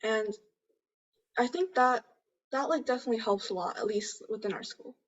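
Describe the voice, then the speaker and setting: A woman's voice: fairly high pitch, animated, normal speed, highs cut off. A teenage young woman whose first language is Chinese, speaking hesitantly and informally in a panel discussion with many fillers. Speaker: youth forum participant.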